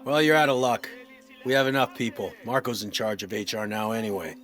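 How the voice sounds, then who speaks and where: Actor as a rude, dismissive gang boss. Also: male voice, lowish voice, steady tone, brisk, fairly bright tone, faint music behind, close to an everyday voice. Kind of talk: video game cutscene acting.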